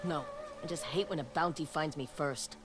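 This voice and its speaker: An actress playing a stubborn, driven bounty hunter in a dramatic video game, terse and hard-edged, with loud music behind her. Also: sits low, moderate pace, close to an everyday voice. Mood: dry, determined.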